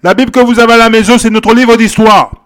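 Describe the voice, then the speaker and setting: Male preacher, high voice, emphatic and repetitive, swings from quiet verse reading to loud exhortation. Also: steady tone, fast talker. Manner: emphatic, repeats phrases, checks in with "ok?" and "hein?". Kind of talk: Bible teaching sermon.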